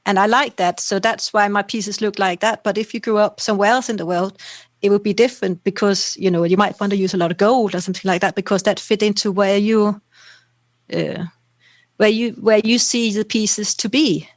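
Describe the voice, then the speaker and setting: A woman's voice: mid-range voice, fairly even intonation, brisk, no room sound, studio quality. A young woman from Denmark, a potter guest chatting in an interview, rambling loosely and ending points with tag questions. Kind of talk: casual interview chat.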